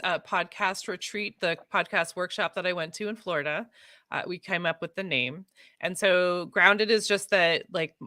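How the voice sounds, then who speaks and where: Bright, lower-pitched American woman. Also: smooth, no echo, studio quality. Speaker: podcast guest. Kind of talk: casual chat.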